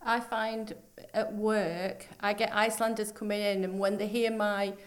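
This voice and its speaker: A woman's voice: medium pitch, level tone, unhurried. Matter-of-fact middle-aged woman from Yorkshire. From Yorkshire, England. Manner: matter-of-fact, brief answers. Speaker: interview guest. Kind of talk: casual chat.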